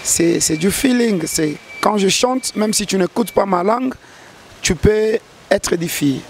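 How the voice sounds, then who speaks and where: Earnest high-voiced gospel singer. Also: male voice, expressive, a little slow. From DR Congo. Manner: earnest, hesitant with repeated restarts. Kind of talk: interview answers.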